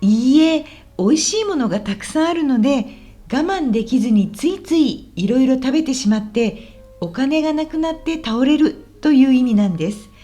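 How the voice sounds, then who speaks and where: Woman playing high-voiced, lively, helpful tour guide. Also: normal speed. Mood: explaining. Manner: polite, explanatory, clearly enunciated. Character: friendly, helpful woman guiding a visitor around Osaka. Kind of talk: scripted language-lesson radio drama.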